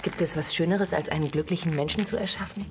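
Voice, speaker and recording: An actress playing a blithely menacing amateur surgeon in a radio drama, clipped and matter-of-fact. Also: female voice, on the low side, quick pace, noisy background, lightly acted. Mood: brisk. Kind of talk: absurd radio drama dialogue.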